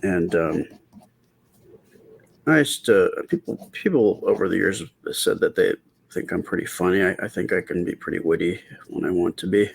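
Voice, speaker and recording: A middle-aged man talking softly and casually in ASMR style as he draws, with a quiet, hesitant, rambling delivery. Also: low-pitched, normal speed, vocal fry, husky. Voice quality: quiet, soft-spoken voice. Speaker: artist and channel host. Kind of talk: casual ASMR storytime while drawing.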